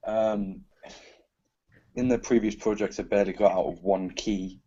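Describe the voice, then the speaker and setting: A man's voice: lowish voice, little pitch movement, measured pace, mellow, breathy edge, lo-fi sound. A man who works as a composer, answering casually in an interview.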